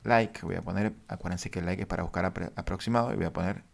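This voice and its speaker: A man teaching a database lesson over a screen share, speaking haltingly with many fillers. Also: deep voice, fairly even intonation, gravelly. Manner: halting, many fillers, addresses viewers formally. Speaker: database instructor.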